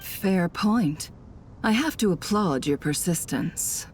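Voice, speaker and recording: A woman's voice: on the low side, animated, takes it slowly, slight background noise. A woman playing a polite, composed young companion character in a video game. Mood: polite, puzzled.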